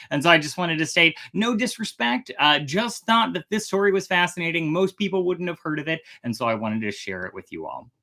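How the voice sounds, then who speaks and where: A storytelling host chatting casually on a livestream in an expressive, slightly theatrical manner. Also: medium pitch, vocal fry.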